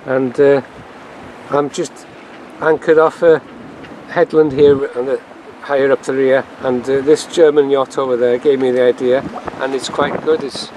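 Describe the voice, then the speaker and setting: A man's voice: mid-range voice, darkish tone. Rambling man on a windy sailboat. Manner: rambling, hesitant, many ums and uhs. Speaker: solo sailor filming his voyage. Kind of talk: casual video diary narration. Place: on a sailboat at sea and in harbour. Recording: noisy outdoor camera audio with wind. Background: wind and water noise.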